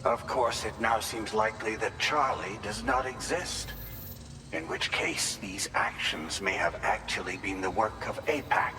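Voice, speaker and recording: An actor playing an earnest government investigator in a video game, speaking in a formal, measured, analytical way. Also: male voice, fairly low pitch, background noise, natural voice. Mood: grave, analytical. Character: earnest male government investigator reporting privately. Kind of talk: video game cutscene dialogue. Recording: roomy with long reverb.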